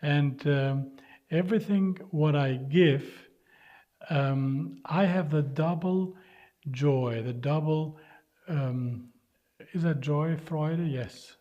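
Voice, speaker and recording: A man's voice: a little high, slow pace. A man from North Macedonia, answering interview questions earnestly and searching for English words. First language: Albanian. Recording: dull lo-fi sound.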